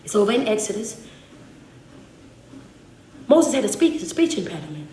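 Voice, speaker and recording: A woman's voice: mid-range voice, sing-song, normal speed, dark tone, reverberant, a little hiss or hum. A woman motivational speaker giving a preaching-style talk, emphatic and full of repeated refrains.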